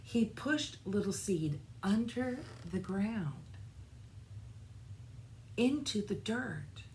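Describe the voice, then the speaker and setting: A woman reading a children's storybook aloud with expressive, deliberate phrasing. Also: medium pitch, a little hiss or hum. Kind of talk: scripted children's storybook narration.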